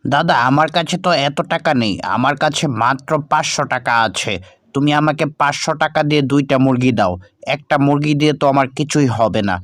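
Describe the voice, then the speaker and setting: A man's voice: on the high side, brisk, a bit bright, no room sound, studio quality, lightly acted. A man voicing an earnest poor village farmer and devoted husband in a children's cartoon. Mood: pleading.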